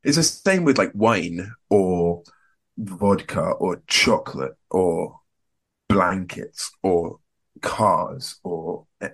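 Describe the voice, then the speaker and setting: A young man from Scotland co-hosting a podcast in casual, rambling chat that often trails off quietly. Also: low voice, takes it slowly, creaky, breathy edge. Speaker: podcast co-host.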